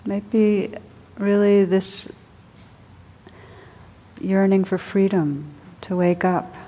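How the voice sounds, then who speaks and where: A woman teaching meditation, guiding listeners gently and unhurriedly, with pauses between short phrases. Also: medium pitch, muffled recording. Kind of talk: guided meditation.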